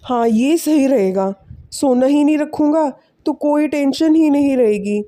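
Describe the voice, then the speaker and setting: A woman voicing a kindly, lonely older village man in an animated moral story, earnest and fatherly. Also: high voice, measured pace. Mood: fatherly, grateful.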